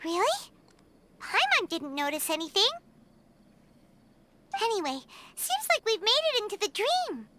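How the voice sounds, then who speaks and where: A woman voicing a small, childlike, excitable fairy companion in a video game, squeaky and full of curious exclamations. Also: a little slow, long pauses, heavy background noise, heavily acted. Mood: curious, puzzled. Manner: exclamatory, curious, speaks of self in third person.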